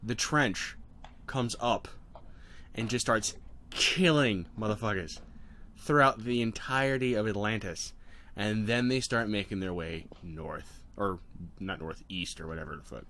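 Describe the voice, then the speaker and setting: A man's voice: fairly low pitch, measured pace, sharp tone. A man, not a native English speaker, brainstorming in a casual, profane chat and laughing often. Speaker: co-host of a writing session.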